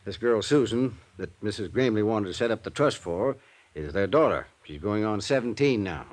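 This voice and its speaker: An actor playing a worried, hesitant small-town banker with an earnest, folksy way of talking in a 1950s radio drama. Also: male voice, fairly low pitch, animated, normal speed, lightly acted. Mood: earnest explaining.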